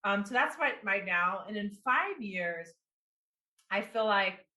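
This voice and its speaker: A woman in her forties speaks loosely as an interview guest, trailing through fillers and restarted phrases. Her voice is bright and forward. The recording carries a noticeable room echo. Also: medium pitch, animated, slow pace. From immigrant. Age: 40s. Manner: rambling, with many fillers and restarts. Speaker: guest, business coach and marketing executive. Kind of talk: casual interview talk.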